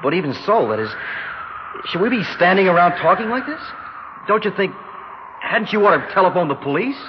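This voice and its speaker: An actor playing a polite young drifter in a 1960s radio drama, with an American accent and a hesitant, earnest, stammering manner. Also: male voice, sits high, big pitch swings, a little fast. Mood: nervous, polite. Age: young adult. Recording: dull, noisy 1960s radio recording.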